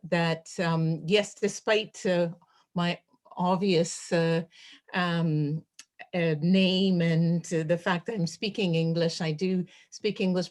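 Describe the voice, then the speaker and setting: Woman, lowish voice, unscripted webinar speaker on a video call, halting and full of fillers. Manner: hesitant, many fillers and restarts. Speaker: chapter author speaking at a webinar. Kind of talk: unscripted academic talk.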